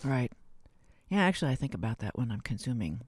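A radio host interviewing a guest live and unscripted, with halting, circling phrasing. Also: mid-range voice, sing-song, a little mellow, husky.

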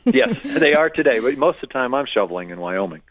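A man in his fifties from Connecticut, a guest author on a radio interview, talking haltingly with many fillers and restarts over a phone line. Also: medium pitch, big pitch swings, a little hiss or hum.

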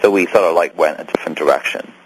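Male guest, Hong Kong accent, deep and husky, meandering answers. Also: fast, rough recording. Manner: rambling, many restarts and fillers. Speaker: filmmaker guest. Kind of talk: interview.

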